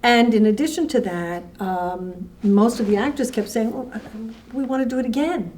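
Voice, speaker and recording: A woman recalling her work as a festival co-founder in a conversational documentary interview, haltingly searching for words. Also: a little high, darkish tone. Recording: distant mic with background noise.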